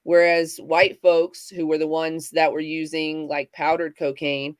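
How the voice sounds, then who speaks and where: A woman co-hosting a casual livestream talk, speaking in halting bursts with many fillers and restarts. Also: low-pitched, level tone, no room sound. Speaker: podcast co-host.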